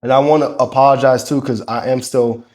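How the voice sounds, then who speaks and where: A man's voice: medium pitch, fairly even intonation, fairly quick, darkish tone. A man with a congested voice from a cold, coaching men in an emphatic, preachy talk that swears freely. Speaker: dating coach. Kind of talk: motivational talk to camera.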